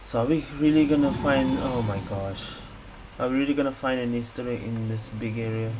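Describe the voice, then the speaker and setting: A man's voice: medium pitch, brisk, background noise. A man giving casual game playthrough commentary as a streamer, reacting and thinking aloud. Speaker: gaming streamer. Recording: loud game music behind.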